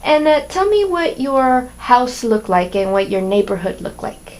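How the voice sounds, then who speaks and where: A woman conducting an oral history interview, asking questions and answering with short, gentle acknowledgements. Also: a little high, big pitch swings, measured pace.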